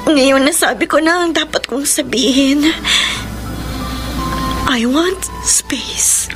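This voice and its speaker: An actress playing a lovelorn, heartbroken daughter in a Filipino radio drama, speaking emotionally with a Filipino accent. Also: female voice, sits high, takes it slowly, over music. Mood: pained, pleading. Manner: emotional, Tagalog mixed with English phrases. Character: lovelorn, heartbroken daughter pining for her friend.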